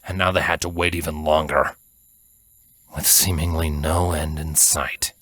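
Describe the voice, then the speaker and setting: A man reading a fantasy story as a narrator, in a measured, dramatic way. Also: a bass voice, level tone, fairly bright tone, a little hiss or hum. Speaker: fan fiction narrator.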